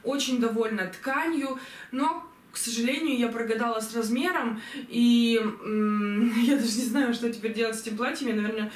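Young woman gushing about dresses, slightly hoarse, sounds far from the mic, echoey room at home. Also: on the high side, moderate pace. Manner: chatty, enthusiastic, many fillers. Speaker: fashion vlogger. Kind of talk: clothing haul review. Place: bedroom at home, indoors. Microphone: camera mic from a distance. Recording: roomy echo, voice from far off.

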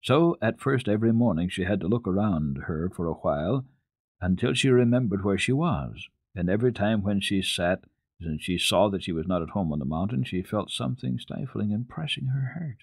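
A man with a fairly low voice reads a children's story for radio. His delivery is dramatic and lively, rising and falling widely, with deliberate phrasing. The sound is dry and close. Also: gravelly, husky, no room sound. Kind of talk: dramatic reading of classic children's literature.

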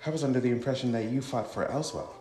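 A man's voice: mid-range voice, fast, voice pushed up, close to an everyday voice. A man voicing a troubled, earnest young fighter in a visual novel. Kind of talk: visual novel dialogue read aloud. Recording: roomy sound with music.

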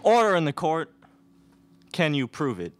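Young man playing an animated, blustering Western judge. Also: on the high side, a little slow, loud music behind the voice. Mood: commanding. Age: young adult. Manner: declamatory, calling for order. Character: blustering, officious Wild West judge. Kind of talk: student radio theatre acting. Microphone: stage microphone on stand.

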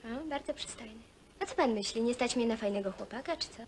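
A self-possessed young woman student, played by a film actress, speaking formally and firmly with tart replies. Her voice sits a little high, over faint soundtrack noise. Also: quick pace, natural voice. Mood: defiant. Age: young adult. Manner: formal, firm, tart retorts. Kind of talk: naturalistic film dialogue.

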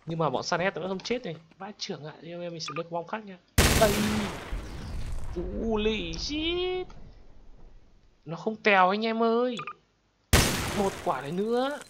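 A man with a high voice commentates on his gameplay for viewers. His delivery is excitable and exclamatory, addressed to the audience like friends. Clear game noise, with gunshots and clicking, sits behind him. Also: normal speed, long pauses. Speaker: gaming video host. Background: game gunshots, explosions and clicks.

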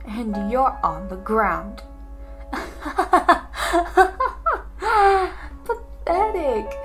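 Woman acting a slow, contemptuous bully girl. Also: on the high side, exaggerated voice. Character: cruel, mocking female bully.

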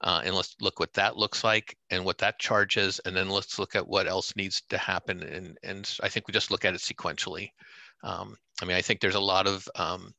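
Deep gravelly official with a bright edge. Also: male voice, level tone, dry recording. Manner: halting, full of restarts, measured. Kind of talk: video call meeting reply. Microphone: headset on video call.

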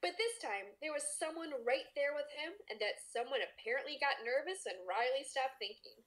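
A woman's voice: high voice, animated, a little fast, fairly bright tone, rough recording. A woman narrating a story expressively as a podcast host.

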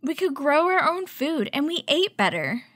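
A woman hosting a children's story time, reading a picture book aloud and teaching with clear, instructive delivery. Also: high-pitched, sing-song, normal speed, a bit bright, no room sound. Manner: clear, instructive, addressing young children with questions.

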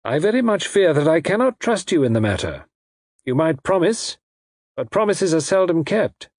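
A man's voice: on the high side, big pitch swings, moderate pace, breathy edge, dry recording, studio quality, pitched above the performer's own voice. A man voicing an unseen villain in an audiobook, coldly menacing yet formally polite, precise and mock-regretful. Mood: suave menace.

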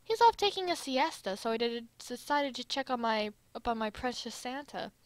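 A woman's voice: on the high side, animated, moderate pace, dry recording, close to an everyday voice. A woman voicing a smug, possessive male anime villain with a taunting manner in an amateur fan drama. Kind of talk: amateur fan dub roleplay.

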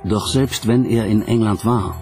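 A man reading scripted documentary narration in a measured, dramatic manner. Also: on the low side, steady tone, darkish tone. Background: music.

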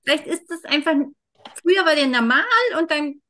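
A woman's voice: high voice, moderate pace, pushed and bright. A woman playing an anxious, pleading teenage schoolgirl in a tabletop game, speaking urgently with hesitant repetitions. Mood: questioning.

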